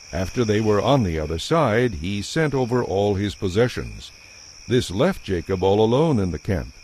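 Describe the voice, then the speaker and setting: Low male narrator reading bible story, measured, faint background ambience. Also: darkish tone, a little hiss or hum. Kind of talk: scripted audio Bible narration.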